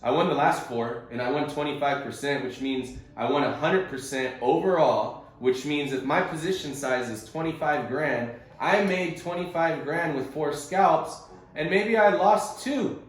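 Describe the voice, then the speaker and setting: A man's voice: fairly high pitch, a little slow, very echoey, rough recording. A man coaching a group on a conference call, explaining things informally and conversationally with casual slang. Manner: casual and conversational, uses slang like bro and bud.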